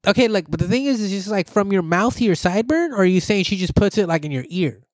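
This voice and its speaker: A young man with a voice that is not deep, co-hosting a podcast in crude, laughing casual chat. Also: expressive, fast talker, dry recording, studio quality. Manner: laughing, swearing, mimics voices. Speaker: podcast co-host.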